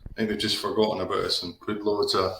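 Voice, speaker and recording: A man's voice: on the low side, little pitch movement, fast talker, vocal fry, fairly bright tone, reverberant. A man in his fifties with an Aberdeen Scottish accent, chatting as a guest on a live stream, rambling with lots of restarts and fillers. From Scotland. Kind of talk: casual live-stream chat. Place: home office. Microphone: video call.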